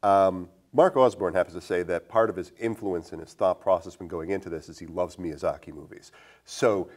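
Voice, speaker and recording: A man's voice: sits low, moderate pace, darkish tone. A man hosting a casual film discussion, talking in a hesitant, rambling way full of fillers. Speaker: podcast host.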